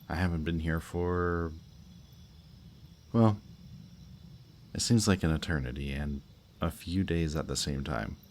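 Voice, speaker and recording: Guy doing a really deep slow villain voice, smug flirty kidnapper talking right to you, roleplay audio, faint crickets n birds. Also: slight background noise. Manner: teasing, unhurried, softly menacing. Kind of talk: roleplay audio drama, intimate monologue to the listener. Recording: outdoor night bird and insect ambience. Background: birdsong and crickets at night.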